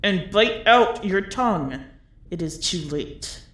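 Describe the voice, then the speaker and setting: A woman's voice: mid-range voice, expressive, slow, fairly bright tone, roomy sound. A woman voicing an ominous, bitter prophet who gives terse, incantatory warnings in a storybook reading. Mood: grim, commanding.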